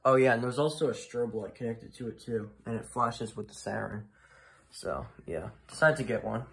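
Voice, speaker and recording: Man, hobbyist presenter, mid-pitched, off-the-cuff and halting, recorded in a room at home. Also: vocal fry, a little hiss or hum. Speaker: hobbyist presenter of a weather radio collection. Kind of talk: casual unscripted show-and-tell narration.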